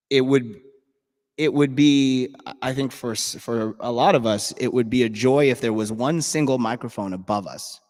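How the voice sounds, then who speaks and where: A man's voice: fairly low pitch, in a large hall. A man from New York City, a bandleader chatting casually with a live audience, playfully nerdy and full of ums and restarts.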